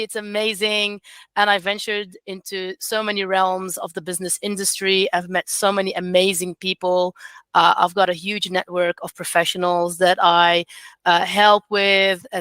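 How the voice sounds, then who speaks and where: Bright, clear-voiced woman on a video call. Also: fairly low pitch, no room sound. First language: not English. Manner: warm, encouraging, chatty with many fillers. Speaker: academic coordinator. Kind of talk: informal webinar presentation.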